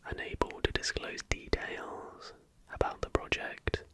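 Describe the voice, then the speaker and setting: An ASMR narrator who whispers from start to finish. The delivery is soft and unhurried, following a script like a documentary read, with breath in place of voiced tone. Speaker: host.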